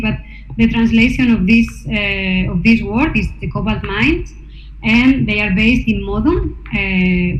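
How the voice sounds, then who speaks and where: A woman artist presenting her research to slides, hesitant, with many fillers and restarts. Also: medium pitch. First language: not Norwegian. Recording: echoey room, dull sound, faint noise.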